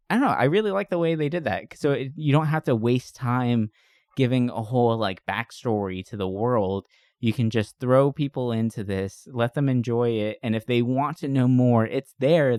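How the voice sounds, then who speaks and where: Male podcast co-host, mid-pitched, rambling and enthusiastic, giggles easily, casual review chat, very dry recording. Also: darkish tone, no room sound.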